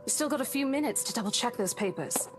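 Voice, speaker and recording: A woman voicing a polite, formal, brisk businesswoman in a visual novel horror game. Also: on the high side, lively intonation, slight background noise, close to an everyday voice.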